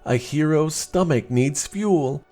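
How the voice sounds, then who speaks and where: A man voicing a doting, warmly bossy mother dragon in a children's storybook, with sing-song coaxing. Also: a little high, slow pace, soft background music, voice pushed up. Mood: fond, teasing.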